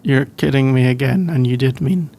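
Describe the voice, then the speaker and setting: A man's voice: medium pitch, vocal fry, lightly acted, low-quality recording. A man with a British accent playing a sleepy, shy college boyfriend in an intimate ASMR roleplay, hesitant and rambling. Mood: flustered, amused. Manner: hesitant, halting, rambling with soft laughs. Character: sleepy, shy, easygoing young male college student boyfriend.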